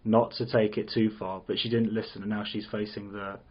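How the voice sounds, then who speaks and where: A man playing a sinister, condescending villain scientist in an amateur script read, with measured, controlling delivery. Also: fairly low pitch, little pitch movement, lightly acted. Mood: cold, dismissive. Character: sinister, condescending male villain scientist running cruel tests. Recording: dull lo-fi recording.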